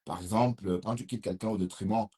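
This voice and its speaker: A man's voice: fairly low pitch, fairly even intonation, a little fast, warm tone, breathy edge. A man with a Cameroonian French accent talking casually on a live stream, reading viewer comments and answering them in a rambling way.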